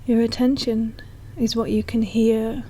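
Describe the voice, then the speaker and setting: Soft, breathy woman guiding a meditation. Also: on the high side, level tone. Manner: soft, slow, soothing delivery. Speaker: meditation guide. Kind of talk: guided meditation narration.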